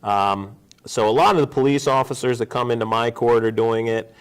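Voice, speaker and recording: A man's voice: mid-range voice, fairly even intonation, brisk, smooth. A man in his forties speaking as a guest on a casual talk show, telling stories in a joking way. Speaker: guest, district judge.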